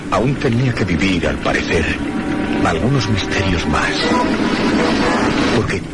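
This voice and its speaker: Low, solemn storyteller of mysteries. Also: male voice, lively intonation, over loud music. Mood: hushed wonder. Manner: solemn, deliberate, suspenseful repetition. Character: haunted one-armed male traveller recounting mysteries, solemn, troubled. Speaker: narrator and protagonist. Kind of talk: dramatic scripted radio narration.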